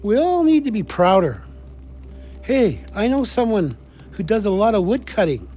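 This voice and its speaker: A man's voice: sits high, very animated, normal speed, warm tone, soft background music. A man acting in an audio play as an encouraging, supportive friend with a reassuring, colloquial manner.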